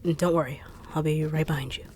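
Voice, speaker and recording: A woman voicing a fast-talking, no-nonsense, teasing bodyguard in an audio roleplay. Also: sits low, fairly even intonation, a little mellow, husky. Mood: wary. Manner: dry, teasing, blunt. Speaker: voice actor.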